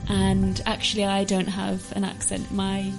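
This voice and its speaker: A woman's voice: medium pitch, completely flat delivery, measured pace, vocal fry, over music, low-quality recording. A woman from England, a guest volunteer, chatting in a friendly way while answering interview questions.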